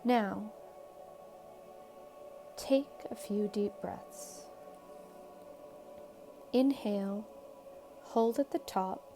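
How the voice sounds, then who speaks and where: A woman leading a guided meditation in a calm, soothing, measured way, leaving long pauses between short phrases. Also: medium pitch. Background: background music.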